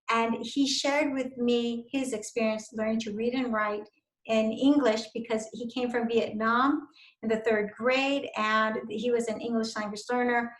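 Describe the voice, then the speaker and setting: A middle-aged woman, a university instructor, giving an earnest, encouraging recorded lecture to teachers over slides. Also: on the high side. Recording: echoey room.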